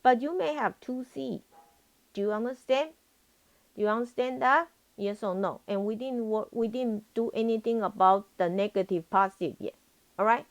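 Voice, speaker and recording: A woman's voice: on the high side, normal speed. A woman teaching a live programming lesson as a professor, repeating herself and often checking that students understand. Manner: repetitive, frequently checks for understanding.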